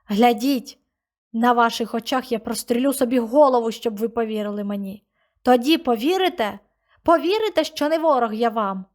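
A woman's voice: fairly high pitch, a little slow, natural voice. A woman voicing a desperate young man, a stranger, pleading with a hostile crowd in anguished outbursts.

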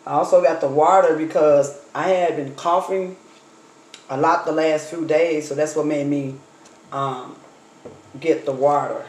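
A woman in her thirties with a slightly hoarse voice, chatting casually to her viewers while unpacking and eating a takeout meal at her table. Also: deep voice, steady tone, unhurried, a little mellow. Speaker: vlogger. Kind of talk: casual mukbang storytime chat. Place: dining table in a home room. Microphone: phone camera on the table. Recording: echoey room with faint noise.

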